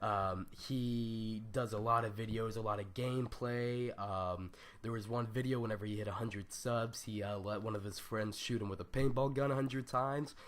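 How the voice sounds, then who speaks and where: A young man with a raspy, scratchy, stuffed-up voice, rambling loosely through a casual gaming tutorial with lots of ums and slang. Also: lowish voice, steady tone, moderate pace. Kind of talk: casual screen-recorded tutorial.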